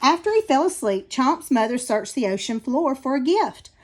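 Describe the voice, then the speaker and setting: A woman reading a children's storybook aloud as an expressive narrator. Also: high voice, moderate pace. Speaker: storybook reader.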